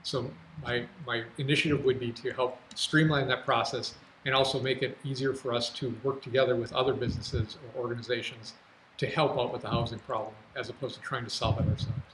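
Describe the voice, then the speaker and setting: Brief: American male candidate, medium pitch, echoey studio with faint noise, forum answer. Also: steady tone, brisk, a bit bright. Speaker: city council candidate. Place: local TV studio.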